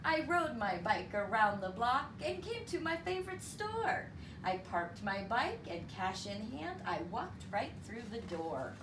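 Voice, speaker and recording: A woman reading a story aloud to children in an expressive, deliberate, teacherly way. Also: fairly high pitch. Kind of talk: expressive read-aloud storytelling with counting lesson. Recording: roomy, distant mic with clear background noise.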